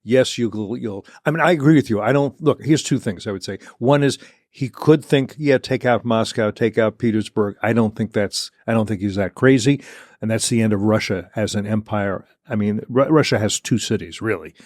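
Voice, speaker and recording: A man's voice: lowish voice, moderate pace, darkish tone, no echo, studio quality. An older man hosting a policy discussion, arguing his view with stammering restarts and mock quotes of others. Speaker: host.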